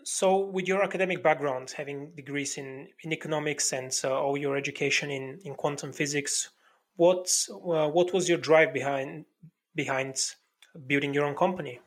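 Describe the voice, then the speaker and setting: A man hosting a podcast, reading a formal intro and asking interview questions in a measured way. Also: on the high side. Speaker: podcast host.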